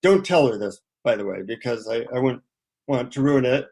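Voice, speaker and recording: A man's voice: mid-range voice, lively intonation, moderate pace, creaky, a little mellow. Middle-aged man telling personal stories. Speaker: interview guest, author. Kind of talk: conversational interview, personal anecdotes.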